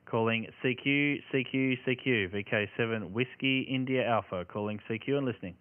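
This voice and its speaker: A man's voice: mid-range voice, normal speed. An Australian man working as an amateur radio operator, making calls and chatting casually on a livestream. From Tasmania, Australia. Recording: tinny band-limited audio with loud radio hiss. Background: radio static and hiss.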